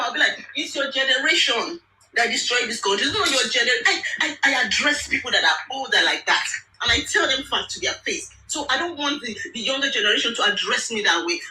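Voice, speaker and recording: Fiery Nigerian woman, bright and roomy. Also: a little high. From Lagos, Nigeria. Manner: emphatic, indignant, repeats words for force. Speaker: speaker in an online audio space. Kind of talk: impassioned political rant. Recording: roomy, distant mic with faint noise.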